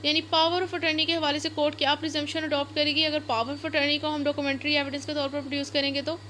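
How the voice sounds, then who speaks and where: A woman with a Pakistani accent speaks in a very high voice, lecturing like a law teacher. She reads out and then explains point by point, repeating herself and slipping in English terms. There is faint noise in the recording. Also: flat delivery, fast talker, fairly bright tone. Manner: repetitive step-by-step explaining, code-switching into English terms.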